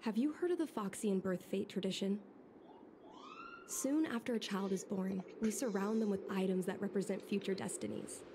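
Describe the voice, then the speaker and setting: A woman voicing a spirited young fox-woman in a video game, earnest and expressive. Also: medium pitch, normal speed, noisy background, lightly acted. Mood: explaining.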